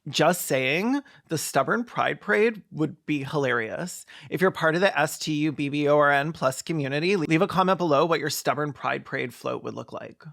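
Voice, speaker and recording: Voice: mid-range voice, moderate pace, creaky, few pauses, fairly bright tone. A video commentator doing mocking, profane, campy comedic commentary on a film.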